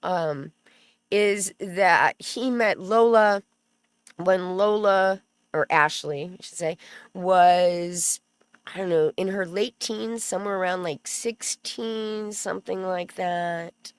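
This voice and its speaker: A woman hosting a casual commentary stream, speaking slowly in a lowish voice. She is chatty and sarcastic, and pauses to read legal text aloud. Her voice sounds dry and close, with no room echo. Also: clear, resonant tone. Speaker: commentary channel host.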